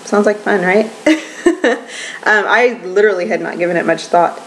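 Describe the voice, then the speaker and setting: A woman's voice: mid-range voice, normal speed, slight background noise, low-quality recording. A woman in her twenties chatting casually in a home vlog, rambling with lots of ums and little laughs. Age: 20s. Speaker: vlogger.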